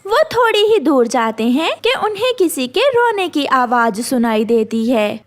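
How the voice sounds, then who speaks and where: A woman's voice: high voice, big pitch swings, a little slow. A woman narrating a scripted children's story.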